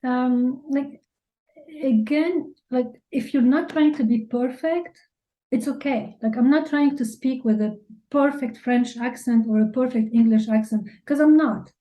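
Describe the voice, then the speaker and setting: A woman's voice: high-pitched, unhurried, dark tone, poor audio. A woman from Lebanon with a Lebanese accent, answering interview questions candidly and informally, often saying "like". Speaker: interview guest, business leader and mentor.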